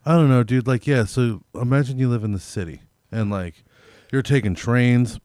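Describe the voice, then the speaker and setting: A man's voice: mid-range voice, normal speed, darkish tone, dry recording, clean studio sound. A man chatting casually as a podcast co-host, with slangy, profane, rambling talk that piles up hypothetical examples. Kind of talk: casual chat, profane banter.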